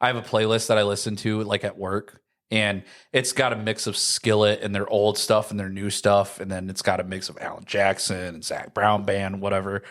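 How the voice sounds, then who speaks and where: A man's voice: lowish voice, little pitch movement, normal speed, fairly bright tone. A young adult man co-hosting a casual podcast chat, rambling and saying "like" constantly. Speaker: podcast co-host.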